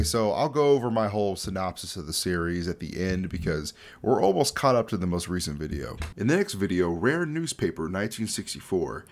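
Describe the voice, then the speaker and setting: Low-voiced, animated young man. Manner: informal, jokey asides. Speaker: YouTube host explaining a horror series. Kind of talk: casual commentary with dramatic read-out passages.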